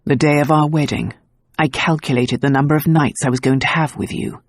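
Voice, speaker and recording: A woman voicing a gruff, devoted nobleman husband in an audiobook, speaking low and tenderly. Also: a little fast, dry recording. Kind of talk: audiobook character voice.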